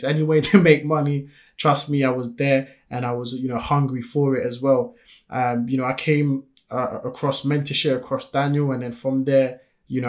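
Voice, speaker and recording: A man raised in France whose first language is French, co-hosting a podcast in eager, chatty talk full of fillers. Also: medium pitch. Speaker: podcast co-host. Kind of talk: casual podcast chat.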